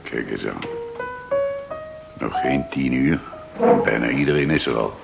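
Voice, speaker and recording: An actor playing a sardonic, weary office superior in a Dutch radio drama, with a mocking, grumbling way of talking. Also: male voice, rumbling low, measured pace, vocal fry, loud music behind the voice, full character voice. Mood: dry sarcasm.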